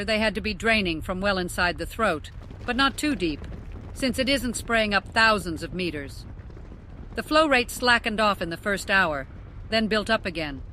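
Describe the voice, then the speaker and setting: A woman's voice: mid-range voice, bright, forceful tone, a little hiss or hum. A woman playing an assertive, unflappable volcanologist, speaking in a matter-of-fact, self-assured way for an audiobook. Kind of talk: audiobook character dialogue.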